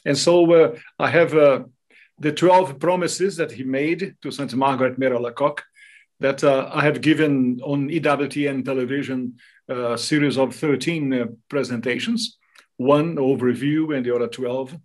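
A man's voice: medium pitch, steady tone, moderate pace. Brazilian man speaking as an interview guest. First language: Portuguese. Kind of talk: interview talk, explaining devotional topics.

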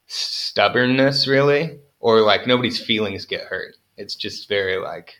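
A man's voice: on the low side, measured pace, fairly bright tone. A young man, a farmer guest on an interview, chatting casually with lots of fillers and self-deprecating jokes.

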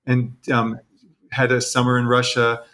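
A man's voice: medium pitch, monotone, unhurried, fairly bright tone. Man from Texas, easygoing conversational guest. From Texas, United States. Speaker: interview guest, international teacher. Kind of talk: casual conversational interview.

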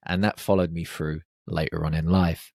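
Man, low voice, podcast host, animated solo talk, dry close microphone. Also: normal speed, gravelly, dry recording. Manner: lively, animated storytelling with exclamations.

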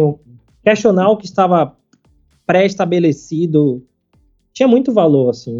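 A man, chatting casually as a podcast guest, halting and full of fillers and casual slang. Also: a little high, mellow. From Salvador, Brazil. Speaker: startup founder, podcast guest. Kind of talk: casual conversational interview. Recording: slightly muffled, faint background music.

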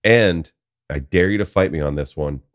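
A man's voice: deep voice, moderate pace. A man co-hosting a casual music podcast, teasing and blunt, swearing freely. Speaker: podcast co-host. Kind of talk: casual chat.

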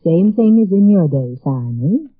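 A woman's voice: lowish voice, sing-song, a little slow. A woman playing a young college femme fatale, teasing yet fragile, in a 1950s radio drama. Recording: tinny band-limited vintage radio recording.

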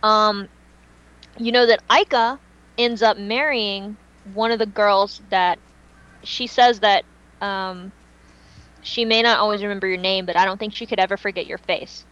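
A woman's voice: mid-range voice, steady tone, a little slow, fairly bright tone, smooth, highs cut off. A woman co-hosting a fan podcast in casual, unscripted chat, speaking emphatically and repeating phrases for dramatic effect. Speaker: podcast co-host and fan fiction writer.

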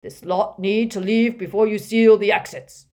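A woman voicing a young wizard disguised as a burly official, giving forceful, blustering orders. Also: mid-range voice, steady tone, moderate pace, voice pushed up, lightly acted. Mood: commanding.

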